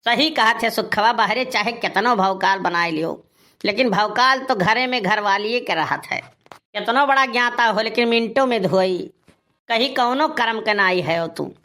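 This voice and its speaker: A man's voice: high-pitched, moderate pace, bright, forceful tone. A man playing an aggrieved, boastful village husband in a cartoon comedy, grumbling and scolding with a rustic eastern Uttar Pradesh accent. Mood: exasperated. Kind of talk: Awadhi cartoon comedy dialogue.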